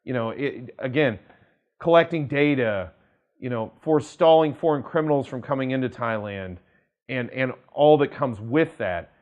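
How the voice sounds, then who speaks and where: American male legal commentator, average pitch, deliberate and emphatic, explaining and quoting news to camera. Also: narrow, tinny audio. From United States. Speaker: attorney presenting a legal news channel.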